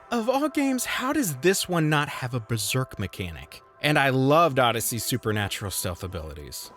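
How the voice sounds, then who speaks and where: A man's voice: medium pitch, fairly bright tone. A man reading a scripted game review voice-over with dry, self-deprecating humor and sarcastic asides.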